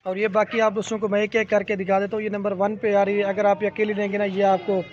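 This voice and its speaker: A man's voice: high voice, monotone. A man with a Pakistani Urdu accent gives a casual sales walkthrough of his goat farm, repeating himself and often checking "theek hai". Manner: repetitive, salesy, keeps saying "theek hai". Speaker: goat farm seller showing animals. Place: outdoor farmyard beside a garden. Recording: slightly muffled phone audio, faint outdoor ambience.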